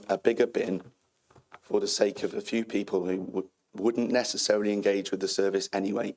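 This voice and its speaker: A man speaks at a council meeting in a hoarse voice that is fading on him. His pitch sits very low and barely moves, with a gravelly fry running through it. He is firm and measured as he answers. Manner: firm, measured, ends dismissive. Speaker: council cabinet member.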